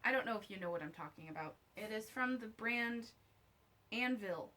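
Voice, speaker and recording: A woman's voice: fairly high pitch, lively intonation, normal speed, pushed and bright. A woman vlogger giving a casual, unscripted review to camera, candid and wry, with conversational asides.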